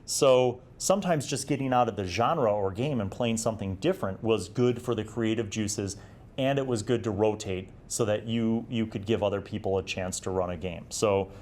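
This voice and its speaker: Guy in his 40s, kinda low voice, chatty solo reviewer looking back and explaining stuff. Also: normal speed, darkish tone. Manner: conversational, reflective, explanatory. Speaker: host of an old gaming review series. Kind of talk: casual solo review and reminiscence.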